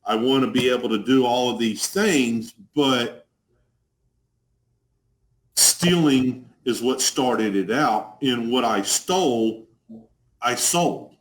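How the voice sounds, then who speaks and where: A man's voice: medium pitch, slow pace, long pauses, rough recording. A man in his forties from Dallas with a strong Texas accent, telling his recovery story as a guest on a video call, earnest and plain-spoken, often repeating a word as he starts. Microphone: video call webcam.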